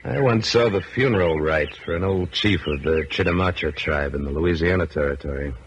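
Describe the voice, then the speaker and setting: Deep-voiced, steady western rancher hero. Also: male voice, a little fast, muffled recording, slight background noise. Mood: calm, resolute. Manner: terse, commanding. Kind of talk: 1950s radio western acting. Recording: vintage radio drama recording with music.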